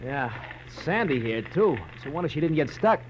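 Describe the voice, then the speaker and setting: Excitable high-pitched cab driver. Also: male voice, brisk. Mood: startled. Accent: American. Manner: colloquial, excitable. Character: excitable, cautious working-class cab driver, family man. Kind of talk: 1940s radio drama acting. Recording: dull, noisy old radio recording, vehicle noise.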